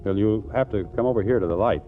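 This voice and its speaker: Guy playing stubborn jealous husband, deep gruff voice, old radio romance comedy, phone-like sound, music under it. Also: fast, lightly acted. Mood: amorous, teasing. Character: jealous, stubborn, ardent husband posing as a brother. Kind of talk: 1940s radio comedy acting. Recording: tinny old radio broadcast, loud music behind.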